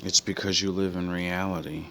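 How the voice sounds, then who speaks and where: A man's voice: low-pitched, little pitch movement, moderate pace, a bit bright. A man giving a casual, reflective monologue in a musing, philosophical way, often letting thoughts trail off. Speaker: commentator reacting to film villain quotes. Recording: clear background noise.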